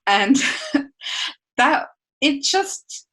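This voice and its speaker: A middle-aged woman from Switzerland with a Swiss accent, co-hosting a podcast in relaxed, chatty talk that often breaks into laughter. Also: high-pitched, drawn-out words, rough recording. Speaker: podcast co-host. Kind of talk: casual podcast chat.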